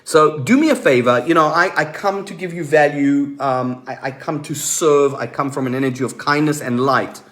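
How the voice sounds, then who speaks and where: Man, somewhat high voice, motivational coach, live-stream host, emphatic delivery, deliberate pauses, repeated key phrases. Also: fairly bright tone. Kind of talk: motivational coaching talk to online viewers.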